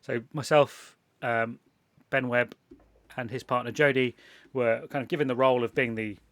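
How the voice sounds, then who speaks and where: Gravelly English man chatting casually. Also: mid-range voice, steady tone, normal speed, a bit bright, no room sound. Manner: rambling, self-interrupting, laughs often. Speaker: podcast host. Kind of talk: casual chat.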